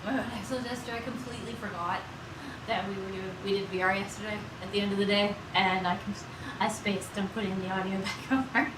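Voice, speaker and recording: A woman's voice: mid-range voice, fairly even intonation, fast talker. Echoey noisy room, middle aged woman doing a cooking stream, rambly n playful, says stuff over and over. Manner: playful, rambling, repeats words and phrases. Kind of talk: casual livestream chat while cooking. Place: small indoor kitchen room. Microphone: distant, several feet away. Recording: roomy echo, distant mic, background noise.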